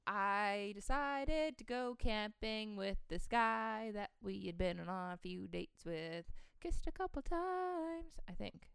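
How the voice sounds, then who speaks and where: A woman's voice: mid-range voice, lively intonation, slow pace, gravelly, hardly any pauses, fairly bright tone, clean tone, no room sound. A young woman co-hosting a podcast in casual confessional chat, dramatic and joking, with crude language. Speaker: podcast co-host.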